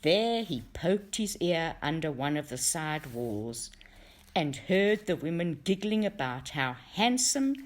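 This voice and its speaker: Low-voiced woman reading a tale, unhurried and animated. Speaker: reader of a folktale for a book study group. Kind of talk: expressive storybook narration.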